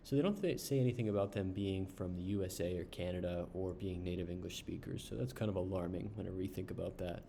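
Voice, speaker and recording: A man casually reviewing an online service while browsing its pages, unhurried, with frequent ums and uhs. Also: deep voice, gravelly, dark tone, a little hiss or hum. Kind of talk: casual screen-share review.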